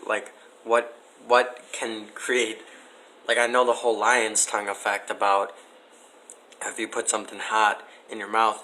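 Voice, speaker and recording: A man as a podcast guest in a casual debate, skeptical and argumentative, with halting delivery. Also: lowish voice, pushed and bright, slight background noise, low-quality recording.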